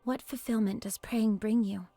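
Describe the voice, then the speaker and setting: A cool, reserved young female soldier in a game drama. Her voice is fairly high yet mellow and smooth, measured and polite, with dry sound over faint music. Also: fairly even intonation, dry recording. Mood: coolly curious. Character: poised, questioning young female squad soldier, coolly reserved. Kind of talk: dramatic video game voice acting.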